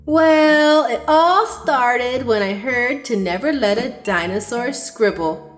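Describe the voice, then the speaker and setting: Brief: young woman, slow expressive storybook reader, high lively voice, soft music behind. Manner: expressive, sing-song. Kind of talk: read-aloud storytelling for children.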